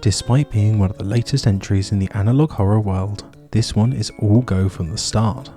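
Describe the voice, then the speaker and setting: A man's voice: lowish voice, normal speed, warm tone, faint music behind. A man hosting and narrating a scripted video essay.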